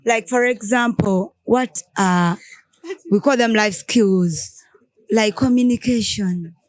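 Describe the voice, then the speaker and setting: Ugandan woman, mid-pitched, talks through points by asking and answering her own questions. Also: slow pace, no room sound, rough recording. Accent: Ugandan English. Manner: explains with examples, asks and answers own questions. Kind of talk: casual group conversation.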